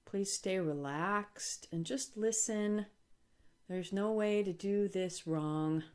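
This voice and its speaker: A woman leading a guided relaxation as a yoga instructor, speaking calmly and gently with deliberate pauses. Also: medium pitch.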